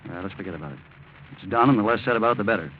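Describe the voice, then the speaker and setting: An actor playing a smug young blackmailer in a 1940s radio drama, glib and condescending, with casual menace. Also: male voice, lowish voice, racing, natural voice. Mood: brisk, controlling. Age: young adult. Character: smug, smooth-talking, insolent young male blackmailer. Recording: tinny, noisy 1940s radio recording.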